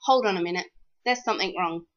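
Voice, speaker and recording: A woman reading a rhyming children's storybook aloud in an expressive, animated, sing-song way. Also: on the low side, fast talker, dull audio.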